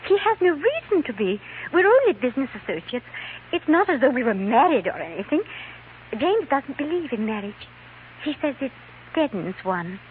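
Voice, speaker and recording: A woman's voice: high-pitched, very animated, normal speed. A woman playing an anxious, tipsy stage actress who feigns English gentility, with an affected, theatrical manner, in a 1950s radio western. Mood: giddy, nervous. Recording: noisy, narrow-band 1950s radio recording.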